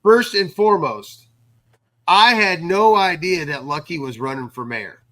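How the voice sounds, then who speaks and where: A man's voice: fairly high pitch, a little slow, a bit bright. Emphatic man in casual podcast chat. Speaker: podcast co-host.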